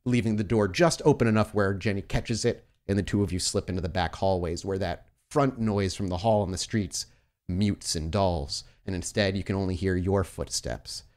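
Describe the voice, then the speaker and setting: A man's voice: low voice, moderate pace, a little mellow. A man running a tabletop game as game master, narrating scenes and calling for dice rolls.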